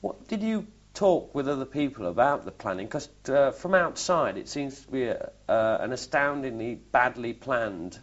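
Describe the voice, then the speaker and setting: A male interviewer with an average-pitched voice asks careful, probing follow-up questions, often repeating a word as he begins. The recording is muffled and lo-fi. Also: animated, moderate pace, hardly any pauses. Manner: probing, repeats words when starting questions. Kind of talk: oral history interview questions. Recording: dull lo-fi recording.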